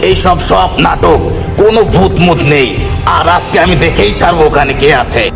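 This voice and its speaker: A man's voice: sits high, normal speed, vocal fry, over music. Man voicing a defiant old village grandfather. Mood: scornful. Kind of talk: horror cartoon storytelling.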